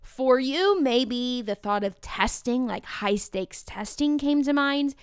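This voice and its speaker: A woman hosting a podcast for teachers, talking in an energetic, chatty, enthusiastic way. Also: sits high, slow pace, clear, resonant tone, no echo, studio quality. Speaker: podcast host. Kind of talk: upbeat podcast talk to teachers.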